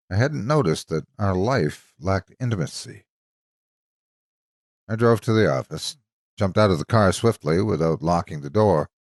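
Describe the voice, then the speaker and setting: A man with a low, deep voice narrates like a seasoned storyteller. His intonation moves widely and dramatically over measured, scripted phrases. The recording is dry and close, with very little room sound. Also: no echo. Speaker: story narrator and channel host.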